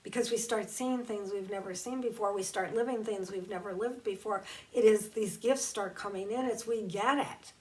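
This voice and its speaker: A woman speaking as a spiritual teacher in a reflective, conversational talk, slowing down to draw out key words. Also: medium pitch, a little mellow, distant mic, low-quality recording. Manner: slow, emphatic and conversational, drawing out key words.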